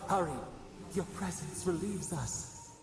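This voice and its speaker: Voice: medium pitch, unhurried, gravelly. An actor voicing a solemn, formal otherworldly guardian being in a video game, with an urgent temper. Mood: solemn relief. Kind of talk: video game dramatic dialogue. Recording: distant, echoing hall with loud music.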